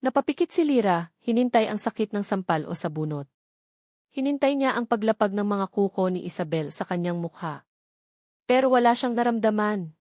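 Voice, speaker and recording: Woman, scripted story narration, dramatic, suspenseful, emphatic phrasing, average pitch, dry studio-like sound. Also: darkish tone, dry recording.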